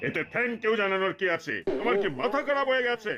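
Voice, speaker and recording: A stern, impatient cartoon police superintendent, with a high-pitched voice. He is curt and commanding, with music clearly audible behind him. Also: expressive, quick pace, lo-fi sound. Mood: irritated. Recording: loud background music.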